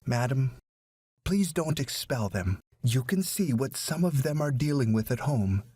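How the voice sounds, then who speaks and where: A man voicing a proud, dignified widowed foster mother in a storybook, speaking in an earnest, measured, emphatic way. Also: medium pitch, animated, mellow, slightly rough, no room sound, studio quality. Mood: pleading. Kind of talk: audiobook story character.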